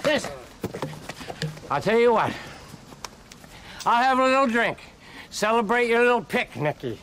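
An actor playing a drunk, rambling middle-aged ex-supervisor outdoors at a trailer park picnic, with slurred, drawling speech. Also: male voice, very high-pitched, sing-song, a little slow, bright, forceful tone, slight background noise, heavily acted. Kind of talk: mockumentary comedy acting.

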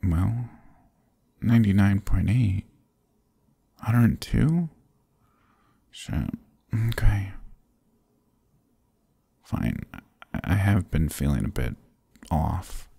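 A man plays a young boyfriend who is sick, stubborn and loving, in an intimate ASMR roleplay. His very low voice is mellow and full of fry, murmured drowsily and softly, as if to a partner right beside him. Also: animated, drawn-out words, pauses often, no echo, heavily acted. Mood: reluctant, reassuring. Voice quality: husky, gravelly, breathy. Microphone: close, dry microphone.

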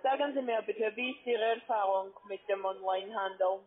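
A woman's voice: mid-range voice, normal speed. Foreign-accented woman on a tinny phone line. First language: not German, speaks it as a second language. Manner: hesitant, tags phrases with questioning checks. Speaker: call-centre caller posing as financial adviser. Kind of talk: phone sales pitch, explaining. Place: phone call.

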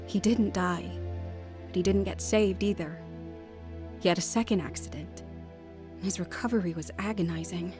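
A woman's voice: on the low side, normal speed, pauses often, loud music behind the voice, natural voice. An actress playing an earnest, devout wife in a radio drama, acting and narrating her heartfelt testimony. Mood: reflective narration.

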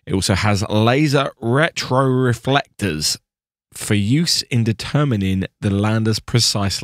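A man's voice: lowish voice, dry recording, studio quality. Upbeat man hosting a podcast. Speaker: podcast host. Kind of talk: podcast presenting and casual chat.

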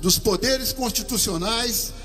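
A man with a Brazilian accent giving a slow, solemn ceremonial speech as an army commander at an outdoor podium microphone. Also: high voice, bright, forceful tone, long reverb tail, faint music behind. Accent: Brazilian Portuguese. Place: outdoor parade-ground stand.

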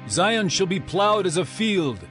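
An actor as a high-pitched prophet of doom. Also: male voice, animated, a little slow, a bit bright, soft background music. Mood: foreboding. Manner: proclaiming, declamatory. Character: male prophet proclaiming solemn doom. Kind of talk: dramatized scripture reading.

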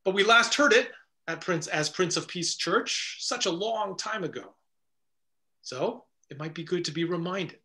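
A middle-aged man, a pastor, preaching an earnest, measured sermon indoors before a stone wall. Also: sits high, lively intonation, bright, forceful tone.

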